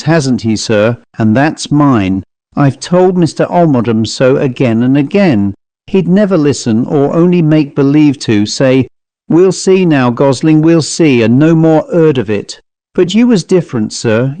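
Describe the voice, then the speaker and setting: A man's voice: a little high, dark tone, no echo. A man voicing a self-possessed Cockney manservant with a controlled, wistfully reproachful manner in an audiobook story.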